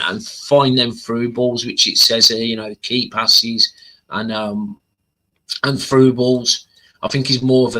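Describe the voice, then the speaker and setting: A man with a bright, forward voice hosts a casual football livestream. He talks through player stats conversationally, hesitating and repeating himself as he goes. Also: medium pitch, poor audio. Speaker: football fan channel livestream host.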